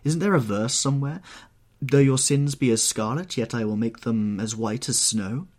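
A man's voice: medium pitch, moderate pace, a little mellow, pitched above the performer's own voice, lightly acted. A man voicing a horrified, anguished painter who pleads and moralises in an agitated, exclamatory way, in an audiobook story. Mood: pleading, fervent.

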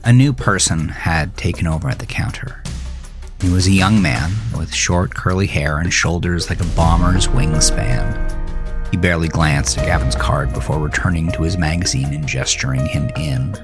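Male narrator, low register, measured dramatic delivery for a horror thriller audio story, faint music behind.

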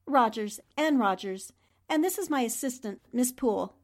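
A woman playing a composed, shrewd newspaperwoman in a 1940s-style radio drama, speaking in a polite, measured way. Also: on the high side, lively intonation, a little hiss or hum.

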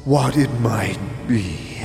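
An actor playing an ancient ghost guarding a mausoleum in a fantasy audio drama, wrathful and grieving, speaking in grave, halting archaic fragments through cavernous reverb. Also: male voice, mid-range voice, gravelly. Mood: sorrowful, bitter. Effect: cavernous long reverb. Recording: long reverb under loud music.

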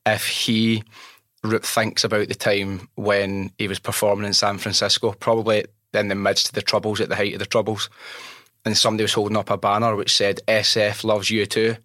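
A man's voice: fairly low pitch, flat delivery, a little fast, bright, forceful tone, no echo, clean studio sound. A man with a Scottish accent hosting a podcast, moving between scripted introductions and casual chat. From Scotland. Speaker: podcast host.

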